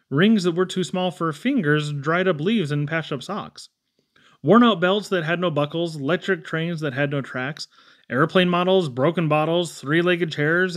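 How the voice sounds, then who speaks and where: A man reading children's poems aloud expressively, with theatrical pauses and emphatic swings. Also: fairly high pitch. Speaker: poetry reader.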